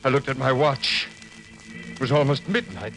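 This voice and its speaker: An actor playing a tormented, murderous husband in a dramatic, deliberate 1940s radio style, stammering when frightened. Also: male voice, medium pitch, vocal fry, a bit bright. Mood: grim, confessional. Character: tormented murderous husband, coldly determined then panicking. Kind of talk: 1940s radio acting, first-person narration and dialogue. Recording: old radio recording with music behind.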